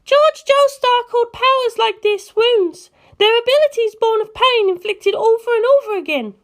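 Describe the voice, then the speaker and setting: A woman's voice: very high-pitched, normal speed, voice pushed up. A woman voicing a determined young woman who is emotional and grieving, in an audiobook-style reading of a story. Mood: earnest. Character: tearful, determined, excitable young English woman, grieving a loss.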